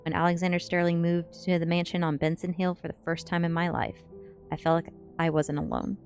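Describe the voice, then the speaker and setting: A woman reading a first-person story aloud in a measured ASMR narration style. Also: on the low side, flat delivery, a little mellow, soft background music. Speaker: streamer reading a novel aloud.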